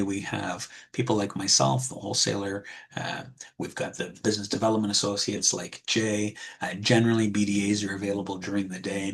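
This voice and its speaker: A man giving an online presentation as an insurance wholesaler, calm and measured, often pausing with ums. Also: fairly low pitch. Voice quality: husky, soft. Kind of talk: webinar presentation with screen share.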